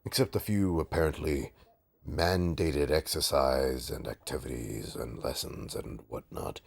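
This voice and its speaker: A man playing a bitter, taunting supervillain in an audio roleplay, with a raspy, gravelly voice and a sardonic, theatrical, halting delivery. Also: a bass voice, heavily acted. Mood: sneering, irritated. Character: menacing, taunting male supervillain, bitter, grudgingly rehabilitating. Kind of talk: scripted audio roleplay drama.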